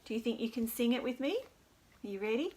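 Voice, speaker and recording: A woman's voice: on the high side, animated, quick pace, warm tone. A woman hosts a storytime for young children, speaking in an encouraging, sing-song way with simple phrases. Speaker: children's storytime host at a library.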